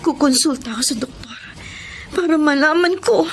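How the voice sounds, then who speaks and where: A long-suffering, wronged wife in a radio drama. Her voice is extremely high, emotional and pleading. Faint noise sits behind it. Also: moderate pace, a bit bright. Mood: worried, distressed. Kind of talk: Tagalog radio drama acting.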